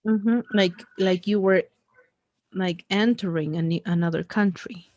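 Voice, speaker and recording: A woman's voice: fairly low pitch, a little slow, a little mellow, dry recording, highs cut off. A woman chatting loosely in a one-on-one conversation lesson, with many fillers and restarts. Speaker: conversation tutor. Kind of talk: casual conversation practice.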